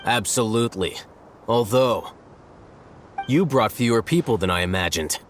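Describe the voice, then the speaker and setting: A man playing a composed, learned character in a video game, speaking in a measured, analytical, dryly detached way. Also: lowish voice, lively intonation, a little hiss or hum, close to an everyday voice. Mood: cool, dry.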